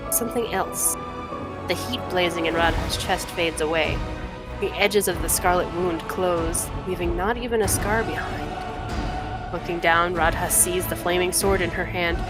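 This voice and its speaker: A woman playing through a video game, reading narration aloud with playful, dry asides, music playing behind her. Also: lowish voice. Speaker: let's player.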